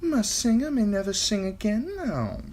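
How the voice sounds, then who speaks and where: A man's voice: high-pitched, very animated, normal speed, darkish tone, pitched above the performer's own voice. A man voicing a teasing, blunt, streetwise young woman with a raised, lighter voice.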